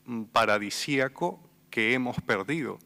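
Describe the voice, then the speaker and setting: A man from Venezuela with a Venezuelan Spanish accent, speaking thoughtfully on a literary festival panel, pausing and repeating words as he thinks. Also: medium pitch, animated, vocal fry, sharp tone. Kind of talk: reflective panel talk. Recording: long hall reverb.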